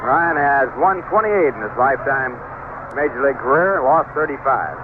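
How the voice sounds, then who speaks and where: A man's voice: mid-range voice, animated, normal speed. A man with an American accent calling baseball play-by-play in the style of 1970s radio. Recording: noisy, tinny old AM radio broadcast.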